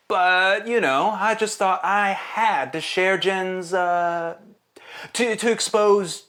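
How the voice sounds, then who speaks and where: A smarmy indie film director in an audio drama interview. His voice sits fairly high for a man and swings expressively. He is glib, boastful and far too familiar, forever selling himself. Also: a bit bright, exaggerated voice. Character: smarmy, sleazy, self-important male indie film director. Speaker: actor playing an interview guest. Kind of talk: audio drama acting as a podcast interview guest.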